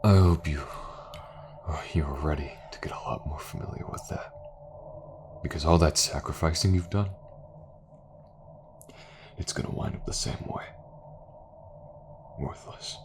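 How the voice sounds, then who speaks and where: Low-voiced, wary, halting man acted out. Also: whispered. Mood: hostile. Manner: halting, skeptical, blunt. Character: wary, rough-edged man, protective of his partner. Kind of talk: audio roleplay drama. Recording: faint noise and ambience.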